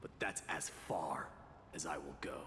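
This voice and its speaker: Stern, proud game warrior. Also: male voice, very deep voice, bright, forceful tone, in a large hall. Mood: grave, resolute. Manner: formal, deliberate delivery. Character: stern, proud male warrior, curt and impatient. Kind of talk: dramatic video game voice acting.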